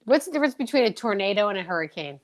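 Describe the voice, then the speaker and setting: A woman's voice: mid-range voice, sing-song, racing. A woman hosting a casual online chat show, teasing her companions and making showy announcer-like asides to the audience. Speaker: host.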